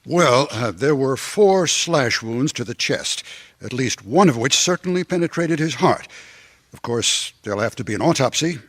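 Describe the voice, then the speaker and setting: A flustered radio-drama doctor with a husky voice. He hedges and placates, his pitch swinging about as he apologizes. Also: expressive, normal speed, a bit bright, no echo. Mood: uneasy, clinical. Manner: hesitant, placating, stammering with nervous chuckles. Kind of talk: radio mystery acting.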